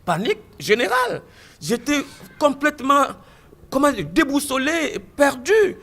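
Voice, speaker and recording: Animated high-voiced man telling his story. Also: measured pace, gravelly. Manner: animated storytelling with frequent stammered restarts; repeats phrases for emphasis. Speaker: guest giving a testimony. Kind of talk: recounting a personal story in an interview.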